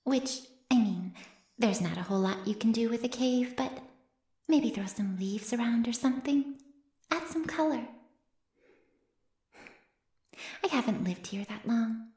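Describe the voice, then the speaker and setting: A woman's voice: fairly high pitch, moderate pace, darkish tone, close to an everyday voice. A woman playing an ancient, smugly teasing female vampire in an intimate ASMR roleplay, murmuring coyly and often slipping into a whisper. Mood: wry, casual. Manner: coy, breathy murmurs that often drop to a whisper. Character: ancient predatory female vampire, playfully menacing, smugly teasing her captive. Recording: roomy cave-like echo.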